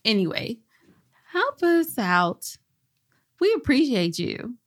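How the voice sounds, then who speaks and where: A woman's voice: on the high side, sing-song, slow. A woman co-hosting a podcast, chatting casually, laughing often and playfully embarrassed. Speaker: podcast co-host. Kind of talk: casual banter.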